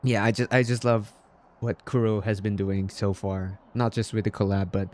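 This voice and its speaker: A man from the Philippines chatting casually on a livestream, halting and repeating his words, polite and appreciative. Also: lowish voice, little pitch movement, a little mellow. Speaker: game streamer. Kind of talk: casual livestream chat with viewers.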